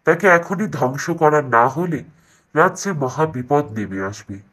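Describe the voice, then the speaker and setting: Man playing a husky, scheming evil sorcerer. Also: a little high, lively intonation, measured pace, a bit bright. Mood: ominous warning.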